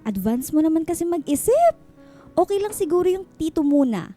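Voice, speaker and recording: An outspoken, supportive best friend with a Filipino accent in a radio drama. Her voice is lively in pitch yet mellow, full of sassy teasing, over faint music. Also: a little fast.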